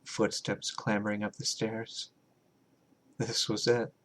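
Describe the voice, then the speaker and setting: A man reading his own horror short story as a scripted, dramatic narration, deliberate and measured, with pauses. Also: lowish voice, flat delivery, fairly bright tone, a little hiss or hum.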